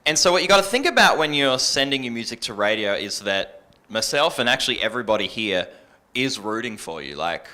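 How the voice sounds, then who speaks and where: A man's voice: mid-range voice, sing-song, brisk, bright, forceful tone. A man giving earnest, conversational advice on a panel as a radio presenter, often rephrasing himself as he goes. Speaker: radio presenter and music programmer on an industry panel.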